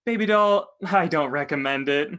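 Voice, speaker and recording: A performer voicing a smooth-talking, mock-polite henchman who threatens with false courtesy, in a livestreamed script reading. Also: mid-range voice, moderate pace, fairly bright tone, natural voice. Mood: silky menacing.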